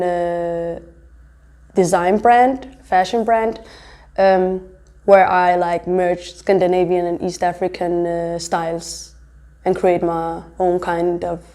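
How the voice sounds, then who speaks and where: A young woman who grew up in Denmark speaks casually in an interview. Her voice is mellow and warm, sitting a little low, and she explains things reflectively. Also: steady tone, slow. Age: young adult. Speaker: interviewee, fashion designer and entrepreneur.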